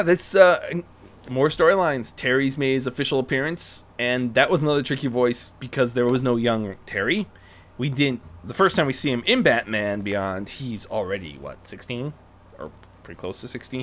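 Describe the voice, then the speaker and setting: A man narrating as a fan fiction reader, with animated storytelling delivery. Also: medium pitch, normal speed.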